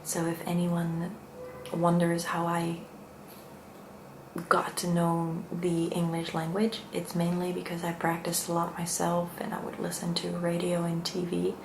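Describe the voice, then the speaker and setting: A Dutch woman with a Dutch accent, speaking English as a second language in a gentle, hushed, soft-spoken ASMR style as she hosts. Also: lowish voice, monotone, a little slow, vocal fry, reverberant, background noise. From Netherlands. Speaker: ASMR video host.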